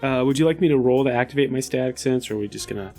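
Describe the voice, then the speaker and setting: A man with an average-pitched voice talks as a player in a tabletop role-playing game. His delivery is casual and improvised. Soft music sits behind his speech. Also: very fast. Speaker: player on a tabletop RPG podcast.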